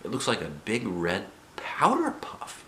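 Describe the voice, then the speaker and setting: A man voicing a gentle, encouraging mother in a storybook, soft and coaxing. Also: lowish voice, wildly swooping pitch, unhurried, reverberant, slight background noise, heavily acted. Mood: admiring.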